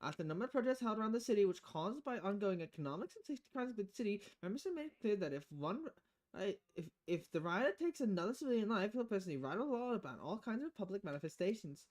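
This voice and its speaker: A woman's voice: mid-range voice, very animated, quick pace, darkish tone. A woman streaming a visual novel, reading the text aloud expressively and often stumbling and correcting herself. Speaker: video game streamer.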